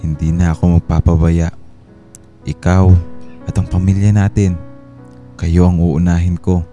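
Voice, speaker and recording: An actor playing a repentant, loving husband and father, gentle and earnest, in a Filipino radio drama. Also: low-pitched, flat delivery, a little slow. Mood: contrite, earnest. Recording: guitar music bed under the voice.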